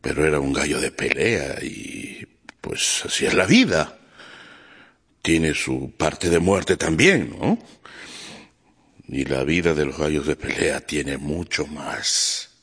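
An actor playing a solemn, consoling father in a radio drama, speaking gravely and haltingly with a husky voice. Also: extremely low pitch, fairly bright tone, full character voice. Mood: sorrowful, resigned. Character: solemn father, gamecock owner, gently consoling his children.

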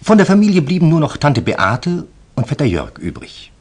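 An actor playing an anxious, eager merchant in a German radio drama, polite and earnest with halting hesitations. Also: male voice, on the high side, huge pitch swings, darkish tone, husky, exaggerated voice. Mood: earnest, explaining. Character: anxious, eager male merchant seeking a detective's help.

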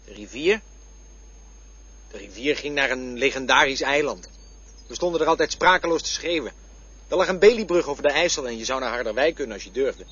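An actor in a radio drama playing a man who vividly recalls his boyhood by the river, with a husky voice. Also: mid-range voice, a little fast, a bit bright. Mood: wistful. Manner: vivid storytelling. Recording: dull lo-fi radio play recording.

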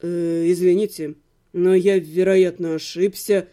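A woman's voice: fairly low pitch, steady tone, unhurried, warm tone, pitched below the performer's own voice, lightly acted. A woman voicing a cool, mocking male ex-husband in a fantasy audiobook, wry and detached. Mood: surprised.

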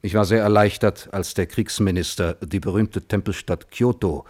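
An actor playing a guarded, reflective physicist on the witness stand in a German radio play, answering tersely and precisely. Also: male voice, fairly low pitch, steady tone, normal speed, a little mellow, natural voice. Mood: troubled, reflective. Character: measured, guarded male physicist testifying as witness. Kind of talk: German radio play acting, hearing testimony.

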